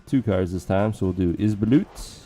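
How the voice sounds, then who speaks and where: A man casually commentating a strategy game with joking asides, his voice going hoarse. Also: sits low, moderate pace, warm tone, faint music behind. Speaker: let's play commentator.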